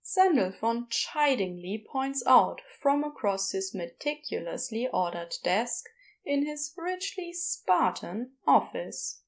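Slow, higher-voiced woman narrator. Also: very animated, vocal fry. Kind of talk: scripted audiobook-style story narration.

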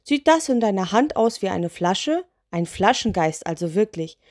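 A woman's voice: a little high, animated, normal speed, a little mellow, no room sound, studio quality, pitched above the performer's own voice. A woman voicing a gigantic, grumpy male lantern genie for a children's story, huffy and sarcastic. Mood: offended.

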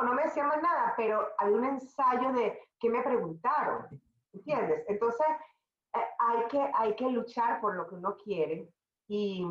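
A middle-aged Venezuelan woman, a podcast guest, telling her career story in a conversational, animated way with many restarts. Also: fairly high pitch, echoey room, lo-fi sound. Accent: Venezuelan Spanish. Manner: animated, talks in restarts and asides.

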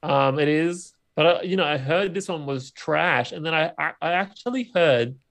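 A man with a somewhat high voice talks as a podcast co-host. His delivery is informal and conversational, often putting questions as he discusses films. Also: moderate pace, vocal fry, a bit bright. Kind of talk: casual film discussion.

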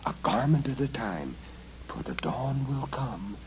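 A man voicing a tiny helpful storybook mouse in a secretive, breathy whisper on an old LP. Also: medium pitch, big pitch swings, measured pace, pitched above the performer's own voice. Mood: hushed, cautioning. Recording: noisy vintage LP recording.

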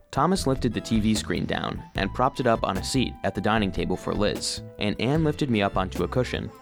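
Low-voiced, lively robot storyteller. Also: male voice. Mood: warm, nostalgic. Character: earnest, eager male cleaning robot narrating his own story. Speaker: narrator. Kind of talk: scripted children's audio drama narration.

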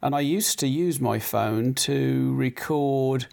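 Croaky man chatting casually. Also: mid-range voice, slow pace, darkish tone. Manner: rambling, hesitant with many fillers and restarts. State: croaky throat. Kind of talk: casual chat.

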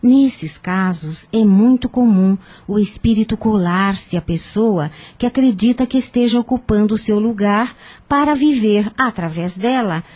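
Wise gentle counsellor lady, radio drama, mellow voice, measured and teacherly. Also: medium pitch, animated. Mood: grave, explanatory. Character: calm, wise female spiritist counsellor Dona Catarina.

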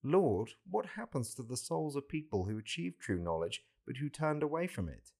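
A man's voice: medium pitch, sing-song, moderate pace, creaky, darkish tone, voice pushed up. A man voicing an earnest disciple who puts pleading, inquisitive questions to his divine master in a scripture reading. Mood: earnest questioning.

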